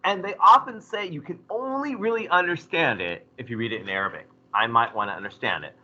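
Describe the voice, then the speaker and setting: A man teaching a history class, lecturing in a lively way and putting questions to his students. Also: a little high, fairly quick, muffled recording. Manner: animated, asks the class questions.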